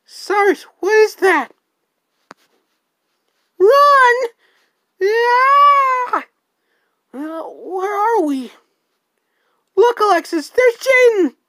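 A woman's voice: extremely high pitch, animated, extremely slow, long pauses, bright, forceful tone, no echo, studio quality, voice pushed up, heavily acted. A woman voicing an anxious, eager teen girl sidekick. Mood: alarmed.

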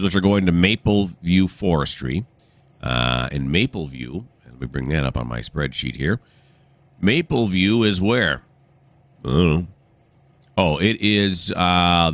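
Deep-voiced man with a cold. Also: dry recording. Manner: thinks aloud, rambling, self-correcting. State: has a cold, voice deepened. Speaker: gaming channel host. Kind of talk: casual train simulator commentary.

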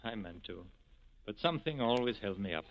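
An actor playing an earnest, steadfast resistance leader, grave and dignified, in 1940s radio acting style. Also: male voice, lowish voice, expressive, a little fast. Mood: tender, restrained. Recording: dull 1940s radio broadcast recording.

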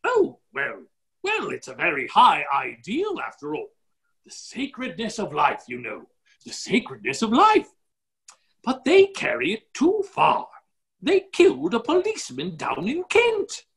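A man's voice: very high voice, huge pitch swings, unhurried, gravelly, fairly bright tone, exaggerated voice. A middle-aged man playing a genial, muddle-headed, blustering duke in a play reading over a video call. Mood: vague, blustering. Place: indoor office, video call. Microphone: computer microphone on a video call.

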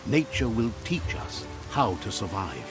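An actor playing a wise, philosophical storyteller narrating a fantasy game in a solemn, measured way. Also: male voice, fairly low pitch, sing-song. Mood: solemn, reflective. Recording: music behind the voice.

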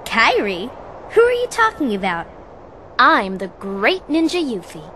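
Cocky teen ninja girl in a game, high voice, bit of background noise. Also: huge pitch swings, unhurried, sharp tone, heavily acted. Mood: breezy, proud. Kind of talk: video game cutscene acting.

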